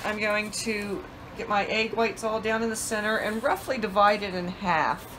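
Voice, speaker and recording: A woman's voice: mid-range voice, moderate pace, a bit bright, slight background noise, poor audio. A middle-aged woman giving plain step-by-step cooking instructions in her home kitchen, with some fillers, picked up by a camera mic a few feet away. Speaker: cooking channel host.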